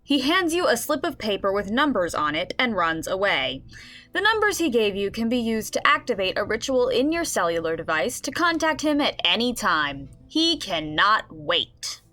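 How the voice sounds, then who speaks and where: A woman playing an expressive game master, narrating a fantasy story in a scripted radio drama. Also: mid-range voice, normal speed. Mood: storytelling.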